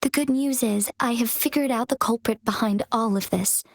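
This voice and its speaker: An actress playing a composed young female leader in a video game cutscene, speaking calmly and formally in measured explanations. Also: a little high, no room sound, studio quality. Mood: earnest.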